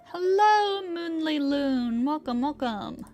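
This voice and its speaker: A woman livestreamer chatting casually and a bit haltingly while playing a game. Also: high-pitched, animated, a little mellow, soft background music.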